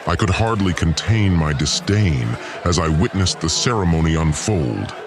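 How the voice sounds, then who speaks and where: A man's voice: sits low, lively intonation, normal speed, noisy background. A man playing a vengeful, disdainful god lord in an audio drama, delivering a formal, pompous and menacing villain monologue. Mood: menacing, bitter. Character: vengeful, disdainful male god lord plotting against humans.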